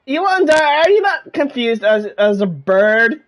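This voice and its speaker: A woman's voice: a little high, big pitch swings, a little slow, rough recording. A woman streaming a game with casual, chatty commentary. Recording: game music behind the voice.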